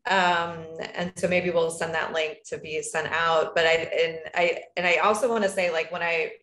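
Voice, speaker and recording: A woman on a video call panel talks things through in a casual, reflective way, often adding "like" and "right?". Her voice sits a little low and carries a noticeable echo from the room around her. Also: steady tone, moderate pace, fairly bright tone. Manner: thoughtful, many fillers like "like" and "right?". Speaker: panelist, agile coach.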